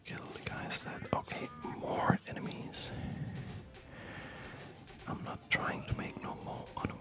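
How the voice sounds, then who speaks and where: A man whispering a rambling, reflective ASMR commentary while he plays a game, with a breathy voice. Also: slow.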